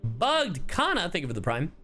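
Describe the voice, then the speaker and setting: A man's voice: fairly high pitch, huge pitch swings, moderate pace, sharp tone. A man doing live game reaction commentary, swearing freely and bantering in exclamations. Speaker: streamer and voice actor. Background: game music.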